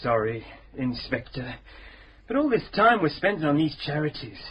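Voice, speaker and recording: An actor playing a chatty young detective sergeant in a radio crime drama. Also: male voice, fairly high pitch, moderate pace. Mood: grumbling. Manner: chatty, lively and informal. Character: young detective sergeant, plain-spoken and restless. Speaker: sergeant to the inspector. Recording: slightly dull radio recording, faint hiss.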